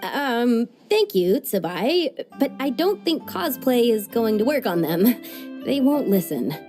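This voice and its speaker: A woman's voice: fairly high pitch, big pitch swings, unhurried. A woman voicing an earnest, wistful young woman from a strict tea-farming family in a game cutscene. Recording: soft game music underneath.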